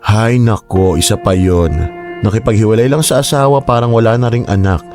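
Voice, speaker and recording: A man's voice: fairly low pitch, a little fast, a little mellow, natural voice. A man playing a concerned, exasperated middle-aged uncle in a Tagalog radio drama. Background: music.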